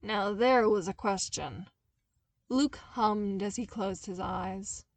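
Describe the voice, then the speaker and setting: Slow-paced woman narrating fiction. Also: mid-range voice, creaky, dry recording. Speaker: audiobook narrator. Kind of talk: expressive scripted fiction narration.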